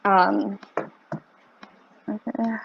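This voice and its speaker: A woman's voice: mid-range voice, flat delivery, extremely slow, gravelly, husky. A young woman with a slight British accent, explaining a group assignment in a rambling way with lots of "like" and "um" on a video call. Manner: rambling, many fillers like "like" and "um". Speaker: student in project group. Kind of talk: casual video call meeting. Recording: muffled video call audio.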